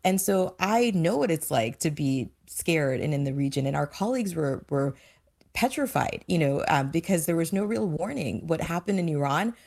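A woman with a low-pitched voice speaks as a guest on a discussion panel. She is earnest and emphatic, giving an impassioned account from her own working life. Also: expressive, moderate pace, gravelly, darkish tone. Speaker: former diplomat, panel guest.

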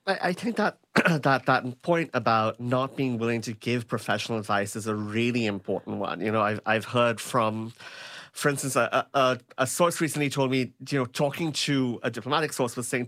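A man's voice: mid-range voice, brisk, fairly bright tone. A man speaking as a journalist on a panel, explaining things analytically and often checking in with tag questions. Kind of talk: analytical spoken commentary.

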